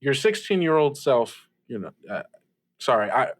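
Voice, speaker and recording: A man playing a young, supportive student host of a radio call-in show, talking casually and haltingly in an improvised audio drama. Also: mid-range voice, big pitch swings. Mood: hesitant, reassuring. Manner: halting, casual, chuckling, reassuring.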